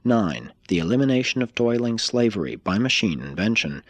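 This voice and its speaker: A man reading a scripted spiritual text like an audiobook, in a measured, emphatic manner. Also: fairly low pitch, sing-song, no room sound.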